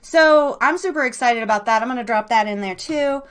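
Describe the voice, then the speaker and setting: High pitched lady hosting a live online show, bubbly n excitable, rambly slangy chat with listeners. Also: a little fast, noisy background. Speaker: host of a live internet radio show and podcast. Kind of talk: casual rambling livestream chat with an online audience.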